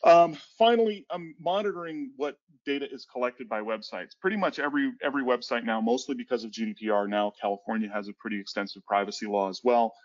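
A man's voice: mid-range voice, fast talker, few pauses. Man rambling through a webinar, muffled. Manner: rambling with frequent restarts and fillers. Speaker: webinar presenter on cybersecurity. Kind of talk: casual conversational webinar talk. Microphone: headset on a video call. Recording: dull lo-fi webinar audio.

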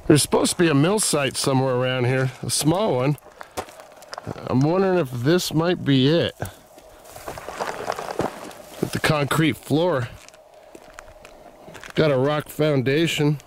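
A man's voice: a little high, slight background noise. Man musing aloud outdoors. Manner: musing, thinking aloud with pauses. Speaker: vlogger exploring a desert site. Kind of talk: casual narration while walking. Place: outdoors in the desert.

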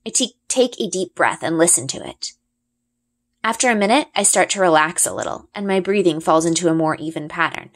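A woman reading a children's fantasy novel aloud as an expressive storyteller. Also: fairly low pitch, fairly quick. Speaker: audiobook-style reader.

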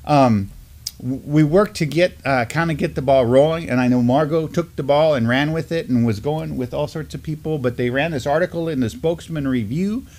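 A man's voice: a little high, normal speed, darkish tone. A middle-aged man with an American accent hosting a community news show in a casual, chatty style. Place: indoor studio, seated at a desk.

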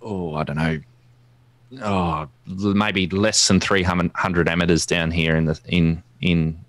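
An Australian man giving an informal technical presentation in a halting, explanatory way. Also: deep voice, little pitch movement, no echo. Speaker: guest presenter, amateur radio enthusiast. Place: indoors at a desk, video call.

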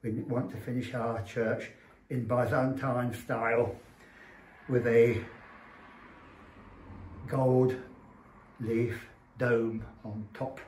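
An older man with an English accent, a priest giving an address in a halting, measured, earnest way. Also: medium pitch, little pitch movement, a little mellow, a little hiss or hum. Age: older, around 70s. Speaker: Orthodox parish priest. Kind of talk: unscripted fundraising address to camera. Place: small indoor chapel room. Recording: roomy echo from camera mic.

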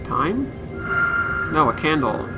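Slow-paced man commentating a game over music. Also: on the high side, very animated. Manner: muttering short reactions to himself while playing. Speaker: gaming streamer. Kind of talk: let's play live stream commentary, reading game text aloud.

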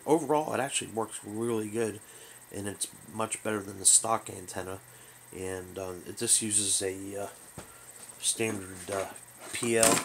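A man hosting a hobby show, giving a casual product overview in a halting, rambling, unscripted way. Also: lowish voice, a bit bright, a little hiss or hum. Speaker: host of a hobby radio show. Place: small bedroom, indoors.

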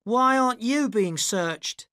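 A reader voicing a suspicious, confrontational young woman who speaks in a high, accusing tone. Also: sing-song, normal speed, fairly bright tone, no room sound, clean studio sound. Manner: shrill, accusing, high pitched. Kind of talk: audiobook character voice.

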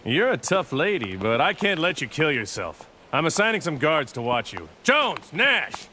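An actor playing an earnest, battle-weary squad leader in video game cutscenes, urgent and commanding. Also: male voice, fairly high pitch, wildly swooping pitch, normal speed, bright, forceful tone, slight background noise, exaggerated voice. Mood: urgent, firm.

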